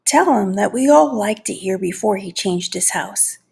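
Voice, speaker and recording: A woman voicing scandalized, fussy storybook neighbors, exclaiming in drawn-out, emphatic phrases. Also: mid-range voice, expressive, vocal fry, darkish tone, breathy edge, full character voice. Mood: indignant.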